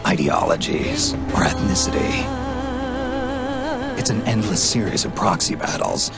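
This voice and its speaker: Gravelly, weary old soldier. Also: male voice, fairly low pitch, wildly swooping pitch, loud music behind the voice, heavily acted. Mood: grim, weary. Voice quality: gravelly, hoarse rasp. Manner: slow, grave, deliberate delivery. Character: weary, grim old male soldier narrating war. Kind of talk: scripted dramatic game narration. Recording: loud orchestral score behind voice.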